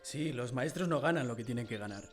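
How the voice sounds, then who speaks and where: A man's voice: medium pitch, lively intonation, fairly quick, lightly acted. An actor playing an earnest village tailor, a husband and father, in a radio drama. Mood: argumentative. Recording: music behind the voice.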